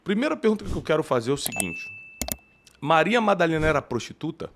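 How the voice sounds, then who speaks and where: A man from Rio de Janeiro with a carioca accent, a theologian hosting an interview who asks questions and thinks aloud in an animated way. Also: fairly high pitch, fast talker, noisy background. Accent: Carioca Brazilian Portuguese. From Rio de Janeiro, Brazil. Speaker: podcast host and theologian. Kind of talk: conversational interview.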